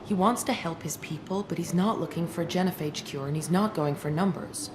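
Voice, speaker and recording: A wry, slightly nervous female scientist in a sci-fi game, played by a woman. Her voice sits a little low and stays conversational, with noisy ambience behind it. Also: fairly quick, close to an everyday voice. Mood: explaining. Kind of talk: video game acting.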